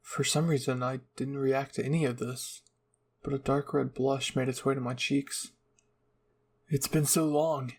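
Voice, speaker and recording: Casting: male narrator for fan fiction, scripted reading with an average-pitched voice. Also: little pitch movement, moderate pace, creaky, husky. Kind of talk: scripted story narration, ASMR roleplay reading.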